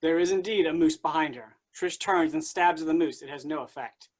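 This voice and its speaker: Casting: male stage-directions reader, fairly high voice, scripted narration. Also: a little fast, low-quality recording.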